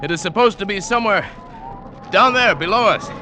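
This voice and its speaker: An actor playing a grave, superstitious mountain guide in an old radio drama, speaking formally with music playing behind him. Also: male voice, high voice, a little fast, pushed and bright, a little hiss or hum. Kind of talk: 1940s radio acting.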